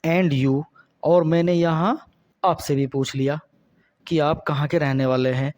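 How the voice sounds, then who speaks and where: Male English tutor, slightly high voice, dry close recording, patient and repetitive delivery. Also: darkish tone, no room sound. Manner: slow, deliberate, repeats each English sentence with a Hindi translation. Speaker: English teacher. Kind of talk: spoken English lesson, explaining in Hindi.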